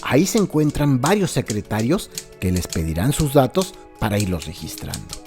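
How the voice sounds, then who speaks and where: A man's voice: mid-range voice, sing-song, normal speed. A man with a Mexican accent giving theatrical, descriptive scripted narration for a radio crime serial. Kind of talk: dramatized scripted narration, present-tense guided tour. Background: background music.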